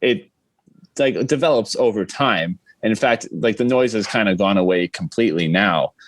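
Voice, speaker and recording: A man's voice: lowish voice, normal speed. A man chatting casually as a podcast guest, explaining things in a rambling way. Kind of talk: casual chat.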